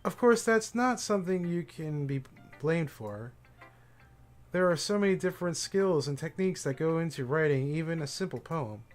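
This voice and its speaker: A man's voice: a little high, lively intonation, normal speed, soft background music, close to an everyday voice. A man reading a shy, bookish teenage girl's lines aloud, hesitant and stammering at first, then earnest when explaining. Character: shy bookish teenage girl, soft-spoken. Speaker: streamer voicing a game character.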